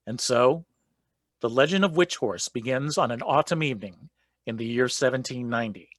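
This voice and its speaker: A man's voice: mid-range voice, expressive, moderate pace, no echo, close to an everyday voice. Man as close, dry, solemn legend narrator. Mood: solemn, measured. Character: solemn storyteller of an old New England legend. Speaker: narrator of a radio play. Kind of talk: scripted, old-fashioned storytelling narration.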